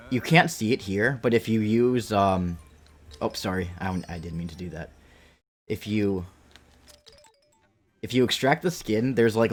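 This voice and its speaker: Male streamer, late 20s: deep husky tone, loose, playful, self-interrupting live gaming commentary over faint music. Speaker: game streamer.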